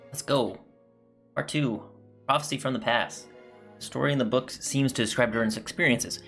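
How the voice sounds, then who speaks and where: A man's voice: medium pitch, moderate pace, a bit bright, soft background music. A man giving casual gameplay commentary as a streamer, reading game text and reacting to it.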